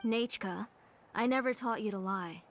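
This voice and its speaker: A woman's voice: medium pitch, moderate pace, slight background noise, close to an everyday voice. A woman playing a protective, politely firm mother in an anime game. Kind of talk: anime game dialogue acting.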